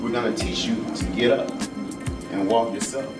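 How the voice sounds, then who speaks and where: A man's voice: medium pitch, moderate pace. A man speaking earnestly and emphatically in a panel discussion, repeating phrases as he gives personal testimony. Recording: echoey, distant mic, loud music.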